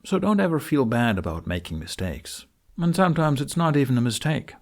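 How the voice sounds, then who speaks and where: A man narrating a how-to tutorial, explaining things step by step with deliberate phrasing and pauses. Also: medium pitch, big pitch swings, a little mellow. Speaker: tutorial host. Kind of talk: instructional narration for a how-to video.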